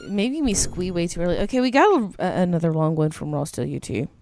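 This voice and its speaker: A woman's voice: on the low side, very animated, fast, darkish tone, soft background music. Gushing, swearing woman podcast co-host. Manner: gushing, swears freely, many ums. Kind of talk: casual chat, reading listener emails aloud.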